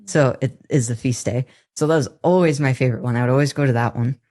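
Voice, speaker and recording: Woman with a deep, masculine-leaning voice. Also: mellow. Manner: earnest and candid, with halting restarts. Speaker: interview guest. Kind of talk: personal testimony in a podcast interview.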